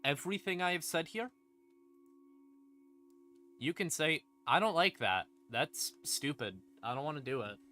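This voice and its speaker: A male streamer with a bright, punchy voice explains game lore in a casual, conversational way. He leans on words for dramatic emphasis and drops in informal asides and swearing. Faint creepy ambient music plays behind him. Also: fairly high pitch, animated, measured pace, long pauses. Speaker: streamer, host.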